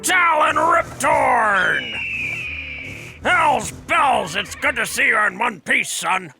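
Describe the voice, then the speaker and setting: An actor playing a boisterous, blustering old one-eyed war veteran in a fantasy audio drama, with a raspy voice. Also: male voice, very high-pitched, big pitch swings, slow, music in the background, full character voice. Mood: hearty, delighted. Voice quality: gravelly, raspy. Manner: loud, hearty, blustering.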